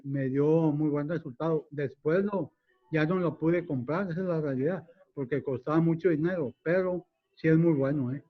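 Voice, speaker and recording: A man speaking frankly and casually in a group video call. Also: on the high side. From Mexico. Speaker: support group participant. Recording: dull lo-fi video call audio.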